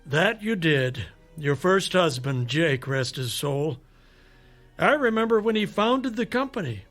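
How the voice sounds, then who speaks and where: A fatherly doctor in a radio drama, full of measured reassurance and skeptical condescension. His voice sits high for a man, with faint music behind it. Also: big pitch swings, fairly bright tone. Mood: reassuring. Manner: measured, patronizing, rhetorical persuasion. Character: male doctor, persuasive, skeptical, fatherly toward his patient.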